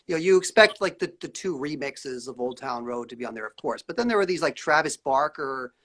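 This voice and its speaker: A man's voice: mid-range voice, expressive, fairly quick, fairly bright tone. Rambling man host, full of fillers. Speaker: interview host. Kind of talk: casual interview over live stream.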